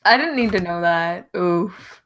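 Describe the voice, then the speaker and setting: A woman's voice: fairly low pitch, expressive, unhurried, a bit bright. Teasing young woman hosting a stream. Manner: teasing, joking, laughs often. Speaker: stream host. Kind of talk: casual chat.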